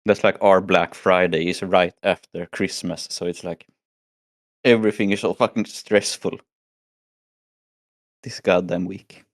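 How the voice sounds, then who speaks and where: A hoarse man from Sweden chatting casually as a guest and grumbling about the holidays. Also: deep voice, fairly even intonation, a little slow, pauses often, no echo, clean studio sound. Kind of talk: casual chat.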